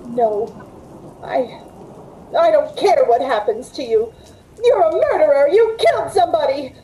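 Woman acting frightened, high-voiced radio suspense heroine. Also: expressive, a little slow, mellow, slight background noise, low-quality recording. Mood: desperate, defiant. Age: middle-aged. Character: frightened, desperate working woman held captive, telling her ordeal. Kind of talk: old-time radio suspense acting, first-person narration. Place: indoors at home, on a video call.

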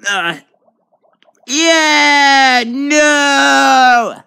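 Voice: high-pitched, very slow, creaky, bright, forceful tone. A gamer giving casual let's play commentary on a tablet game, reacting aloud with frequent exclamations. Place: indoors at a tablet.

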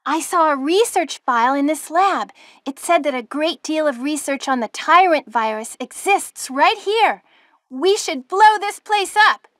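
An actress playing an eager, dutiful young rookie officer in a video game, perky with stilted delivery. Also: female voice, high voice, lively intonation, a little slow, fairly bright tone, no room sound, clean studio sound. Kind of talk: video game cutscene acting.

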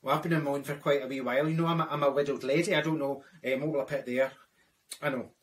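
A man's voice: mid-range voice, steady tone, quick pace, lightly acted. A Scottish man voicing a chatty older widowed woman who rambles with dry asides, in a comic character monologue. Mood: gossipy.

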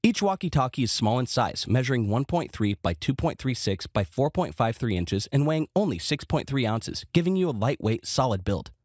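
A man's voice: medium pitch, sing-song, brisk, few pauses, a little mellow, no room sound, studio quality. A man reading scripted product review narration with a polished, promotional delivery.

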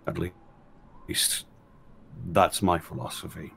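Man, low voice, slow pace, tabletop player in character, restrained, slight background noise. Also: gravelly, fairly bright tone. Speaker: player in a tabletop role-playing game. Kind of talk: in-character improvised dialogue.

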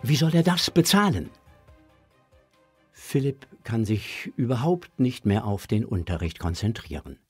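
A man's voice: medium pitch, very animated, a little slow, breathy edge, soft background music. A man narrating a scripted audio drama for children.

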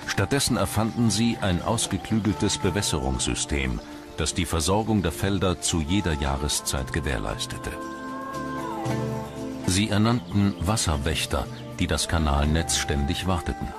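A man reading scripted documentary narration in a measured, formal manner. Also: sits low, animated. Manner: measured, formal read delivery. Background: background music.

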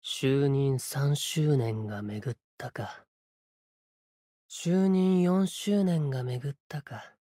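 A man voicing a solemn, cryptic sword spirit warrior in a Japanese game, with measured, portentous, archaic phrasing and a breathy voice. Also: mid-range voice, warm tone, no echo, studio quality. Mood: calm, measured. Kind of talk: Japanese game character voice lines.

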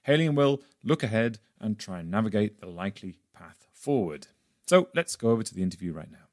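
Low-voiced, wry male podcast host. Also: very animated, a little fast, darkish tone. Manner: wry, playful. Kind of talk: scripted podcast introduction, then chatty interviewing.

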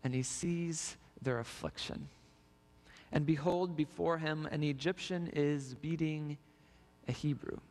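A young man delivers a storytelling sermon in a slightly high voice. His words ring out with the long reverb of a large church sanctuary, picked up by a body mic as he walks the stage. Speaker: preacher.

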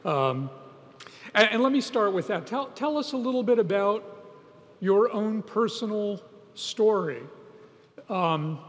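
A man moderating a conference panel, asking questions with hesitant "um"s. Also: sits high. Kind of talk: interview questions. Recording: reverberant hall.

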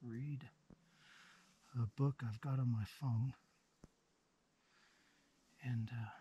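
A man in his thirties vlogging solo from a small tent while camping, talking slowly and sometimes dropping to a whisper. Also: mid-range voice, highs cut off, slight background noise. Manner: slow, relaxed, drops to a whisper at night. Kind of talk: casual vlog narration. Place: small tent outdoors in the mountains.

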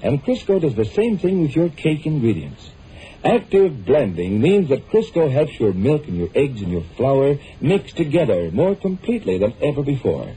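A man's voice: medium pitch, mellow. A man announcing on 1940s radio, reading scripted copy and narration. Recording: muffled, noisy old 1940s radio broadcast.

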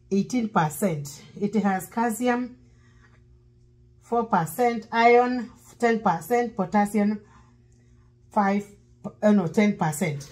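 A woman's voice: mid-range voice, darkish tone, husky, low-quality recording. A middle-aged woman pastor, preaching while cooking in her home kitchen, breaking into song now and then. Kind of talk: cooking demo sermon. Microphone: fixed camera across counter.

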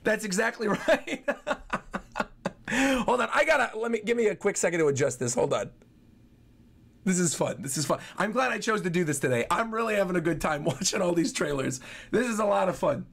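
A man's voice: sits high, expressive, fairly quick, vocal fry, fairly bright tone. A young man giving enthusiastic, chatty live reaction commentary as a streamer, often repeating his phrases. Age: young adult. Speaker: streamer reacting to game trailers. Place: small bedroom, indoors. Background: trailer music.

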